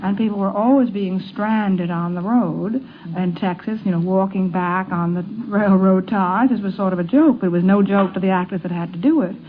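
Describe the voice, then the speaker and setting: A middle-aged woman telling informal anecdotes as an interviewee, animated but halting. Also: medium pitch. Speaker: interviewee recalling her mother. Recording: narrow vintage radio, faint noise.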